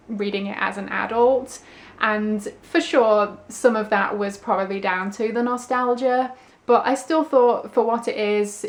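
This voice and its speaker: Casual, rambling woman book vlogger. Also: mid-range voice. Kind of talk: casual chat to camera, reading diary and book review. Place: indoors at home.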